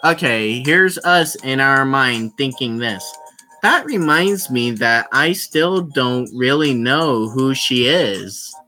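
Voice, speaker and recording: Bright-voiced streamer, slow and halting. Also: medium pitch, animated, soft background music. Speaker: streamer playing a visual novel. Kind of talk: casual livestream commentary with read-aloud.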